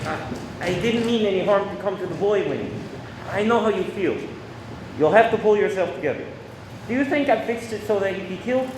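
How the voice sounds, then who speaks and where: An anxious husband in a radio play, acted by a man, pleads and justifies himself in halting phrases. His voice is high and very animated, caught in an echoey room. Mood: defensive, agitated. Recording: echoey room with faint noise.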